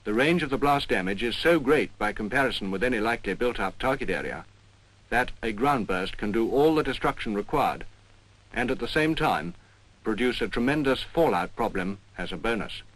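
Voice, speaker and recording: A man of medium pitch reads scripted narration for an instructional film. His manner is formal and explanatory. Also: expressive, normal speed, fairly bright tone, rough recording.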